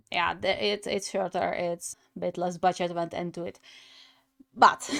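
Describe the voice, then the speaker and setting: A woman's voice: mid-range voice, gravelly, smooth. A young adult woman giving casual, musing reaction commentary as a gaming host.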